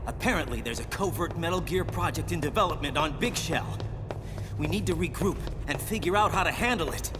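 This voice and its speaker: A man acting a high-voiced, anxious young agent. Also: animated, brisk, music in the background, lightly acted. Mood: serious. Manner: urgent, emotional. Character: earnest, anxious young male special agent. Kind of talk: video game drama acting.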